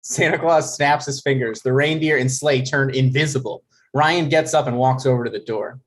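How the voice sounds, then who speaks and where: Mid-pitched man reading stage directions. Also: normal speed. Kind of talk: scripted narration at a table read.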